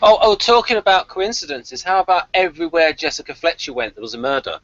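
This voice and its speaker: A man's voice: a little high, very animated, quick pace, fairly bright tone, muffled recording. A man co-hosting a casual TV review chat, speaking in an opinionated, emphatic way. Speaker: podcast co-host.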